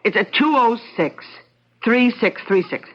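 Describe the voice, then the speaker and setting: An actress playing a breezy, pushy gambling neighbor with a brash, casual, coaxing way of talking, in an old-time radio drama. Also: female voice, a little high, unhurried. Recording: muffled, noisy old radio recording.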